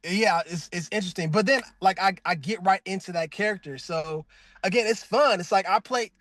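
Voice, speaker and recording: A man's voice: brisk, a bit bright, dry recording, clean studio sound. A man who voices a cartoon character, talking as a guest in a casual interview. He gushes loosely, with plenty of "like" and restarts. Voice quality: calls his own voice really deep. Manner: loose, effusive talk full of "like" and restarts. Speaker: voice actor guest.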